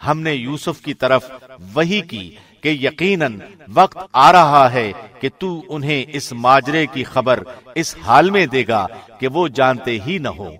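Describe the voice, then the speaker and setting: A man's voice: mid-range voice, expressive, fairly bright tone. A man reading a scripted translation of scripture as a professional radio presenter. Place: studio.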